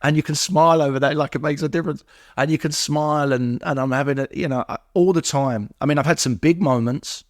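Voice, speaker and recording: A man's voice: fairly high pitch, fairly even intonation, a little fast, few pauses, no room sound, clean studio sound. A middle-aged man, speaking as a podcast guest and telling personal recovery stories, acting out what people said. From England.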